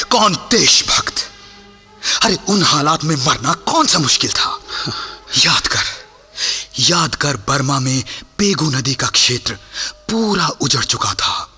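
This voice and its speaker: A captured revolutionary soldier in a radio drama. He speaks slowly and emphatically, with dramatic pauses and a sharp, forceful tone. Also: a little high, breathy edge, full character voice. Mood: fiery, insistent. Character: captured Indian freedom-army soldier, impassioned, shrewd, weary. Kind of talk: Hindi radio play acting.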